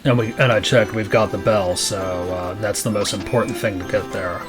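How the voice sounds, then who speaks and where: A man with a fairly low voice talks through his moves as he plays, plain and matter-of-fact. Game music runs clearly beneath his commentary. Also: steady tone, a little fast. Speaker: let's play host playing the game. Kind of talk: casual gameplay commentary.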